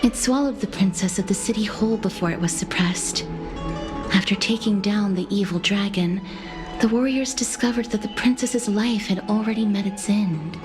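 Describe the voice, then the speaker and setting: An actress playing a polite, bookish young woman in a video game, speaking softly and formally. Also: medium pitch, moderate pace, lightly acted. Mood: gentle, earnest. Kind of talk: scripted video game dialogue. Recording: music behind the voice. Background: game music.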